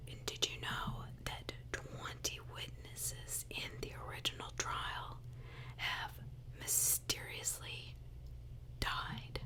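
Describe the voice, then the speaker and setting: Woman, full whisper, slow pace, ASMR true crime narration, conversational. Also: rough recording. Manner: conversational, addresses listeners with rhetorical questions.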